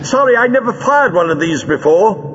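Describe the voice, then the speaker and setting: A man's voice: on the high side, huge pitch swings, slow, in a large hall, lo-fi sound, soft background music, pitched above the performer's own voice, full character voice. A man voicing a confident, practical young woman warrior in an audiobook, matter-of-fact in manner. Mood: apologetic.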